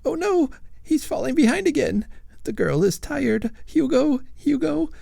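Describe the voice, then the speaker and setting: A man's voice: sing-song, measured pace, gravelly, dark tone, no echo, clean studio sound, exaggerated voice. Man putting on a wailing old ghost lady. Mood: fretful. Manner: high, wailing, sobbing. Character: old female ghost lady, fretful and tearful.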